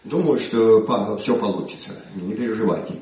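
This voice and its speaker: An older man, an English speaker, presenting his book in Russian with a halting manner, recovering from a cold. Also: fairly low pitch, a little mellow, a little hiss or hum. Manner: halting, many hesitations and restarts. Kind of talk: informal presentation speech. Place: museum hall. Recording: echoey hall, from far off.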